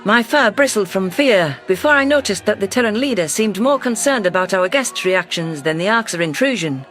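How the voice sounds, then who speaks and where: A man narrating a science fiction audio story in an even, scripted delivery. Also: sits high, normal speed.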